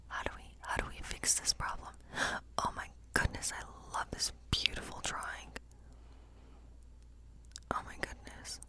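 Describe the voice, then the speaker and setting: A gentle woodland witch, played by a woman, speaks entirely in a soft, breathy whisper. She muses slowly and circles back over her phrases as a tarot reader would. The style is an intimate ASMR fantasy role play. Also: close to an everyday voice, low-quality recording. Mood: delighted. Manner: slow, gentle, musing, often repeating phrases. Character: kindly woodland witch reading tarot for a guest. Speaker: ASMR performer.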